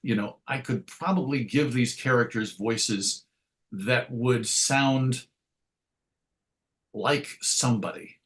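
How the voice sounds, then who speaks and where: A man's voice: mid-range voice, slow, pauses often, a bit bright. A man telling stories as an interview guest in a reflective, wordy way, circling back to correct himself and adding wry asides. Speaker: interview guest, comics writer and radio host. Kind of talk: conversational interview storytelling.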